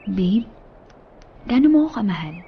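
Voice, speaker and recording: Young radio drama girlfriend: coy, teasing, very animated pitch, dull recording. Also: normal speed, slightly rough, heavily acted. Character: playful, coy young girlfriend seeking reassurance.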